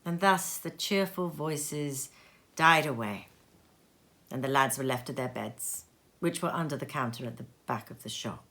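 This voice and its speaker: A woman reading a classic story aloud as expressive narration, with dramatic emphasis and deliberate pauses. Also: extremely low pitch. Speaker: storybook reader. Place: sitting room.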